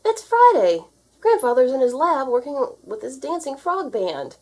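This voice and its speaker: An eager young boy played by a woman from a children's storybook. The voice is pitched high and swoops emphatically, full of excitable energy, with a slightly husky grain. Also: measured pace, full character voice. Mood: excited. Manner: exclamatory, emphatic.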